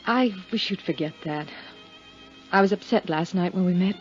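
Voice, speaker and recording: An actress in her twenties playing a lonely young widow in a 1950s radio drama, speaking in a soft, hesitant, confiding way. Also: on the low side, normal speed, soft background music, lightly acted. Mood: wistful. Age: 20s. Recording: muffled 1950s radio broadcast.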